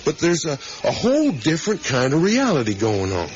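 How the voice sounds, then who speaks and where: A man's voice: fairly high pitch, wildly swooping pitch, fairly quick. An actor playing an eager middle-aged academic father who rambles and reflects emphatically, in a naturalistic radio drama style. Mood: musing, animated. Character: musing, eager academic father on a motorcycle trip with his son. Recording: dull, noisy 1980s radio recording.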